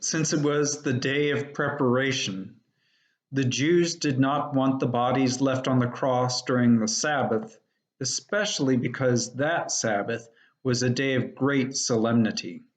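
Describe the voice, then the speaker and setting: Male lay reader, mid-pitched, very deliberate and slow, solemn scripture delivery. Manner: measured, deliberate.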